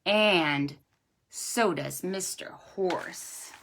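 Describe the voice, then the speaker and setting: A woman hosting a preschool storytime, reading aloud and chatting to young children in an expressive, sing-song way. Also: fairly low pitch, extremely slow, creaky, a little hiss or hum. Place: at home or indoors, livestreamed.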